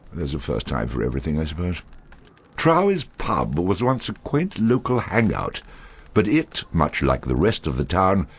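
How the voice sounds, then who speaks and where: A man's voice: low voice, husky, full character voice. A man playing a pompous, droll narrator in a video game, telling the tale in a grandiose, sardonic way. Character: pompous, droll storybook narrator, dryly mocking the hero.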